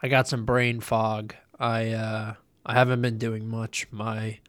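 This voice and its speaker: A man's voice: fairly low pitch, flat delivery, slow pace, fairly bright tone, no echo, studio quality. A young man hosting a casual solo podcast, nasal and congested from a cold, rambling loosely with lots of ums and uhs. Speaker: podcast host.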